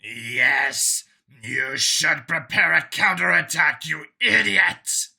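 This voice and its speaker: A man's voice: a little high, takes it slowly, vocal fry, bright, forceful tone, breathy edge, full character voice. A man voicing an angry, imperious dragon commander in an audiobook, barking orders with contempt. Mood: angry, commanding. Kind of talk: audiobook character voice.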